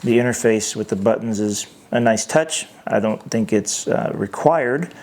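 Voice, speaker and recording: A man's voice: on the low side, measured pace, a little mellow. A man giving an unscripted hands-on product review as host, thinking aloud in a hesitant way.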